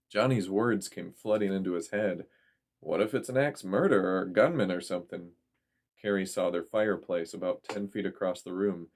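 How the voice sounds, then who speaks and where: A man's voice: on the low side, a little mellow. A man reading a story aloud as a dramatic audiobook narrator.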